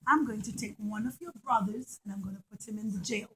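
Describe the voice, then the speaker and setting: A woman playing a commanding male ruler who tests people with loud, drawn-out words, in a children's puppet show. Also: mid-range voice, slight background noise, voice pushed down, natural voice. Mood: stern. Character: commanding male ruler, secretly testing his brothers.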